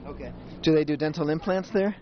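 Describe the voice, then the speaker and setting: Quick-talking man hosting a casual interview. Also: fairly high pitch, dull audio. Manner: chatty, repeats answers back. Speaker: host and dentist.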